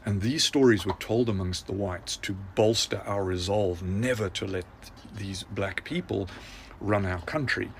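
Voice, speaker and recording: A man with a very low voice talks in an impassioned, insistent way, stacking point on point. A faint hum of room ambience sits under his speech. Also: a little slow. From South Africa. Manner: earnest, insistent, building lists. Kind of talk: impassioned monologue.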